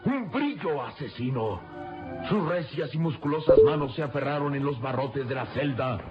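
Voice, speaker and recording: A man's voice: medium pitch, lively intonation, normal speed. A man narrating an old adventure radio serial in a solemn, emphatic, suspenseful style. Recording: dull lo-fi radio serial, loud music.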